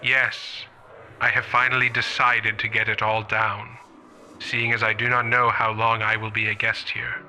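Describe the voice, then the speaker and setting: A man playing a thoughtful, morally troubled professor in a radio play, speaking in a measured, earnest way. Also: on the low side, level tone, bright, forceful tone, poor audio. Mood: reflective.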